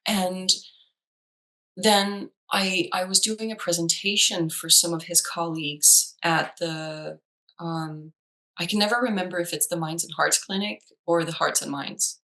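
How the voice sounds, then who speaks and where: Woman, danish native speaker, chatty, lots of ums and restarts, bright voice. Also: lowish voice, steady tone, unhurried, low-quality recording. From Denmark. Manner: chatty, with many fillers and restarts. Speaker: psychologist. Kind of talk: casual chat.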